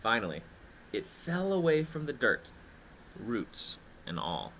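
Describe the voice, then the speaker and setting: Lively, slow-paced man reading a picture book. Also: medium pitch, creaky, a little hiss or hum. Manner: greets and addresses young listeners directly. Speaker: read-aloud host for children. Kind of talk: expressive picture-book read-aloud.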